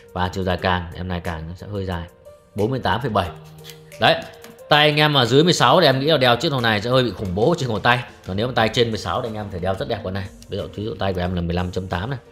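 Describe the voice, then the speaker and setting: A young man talks quickly through a watch review in a low voice. His delivery is chatty salesman patter aimed at his viewers, with faint music playing underneath. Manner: brisk salesman patter, calls viewers "brothers". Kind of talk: product review with close-up narration.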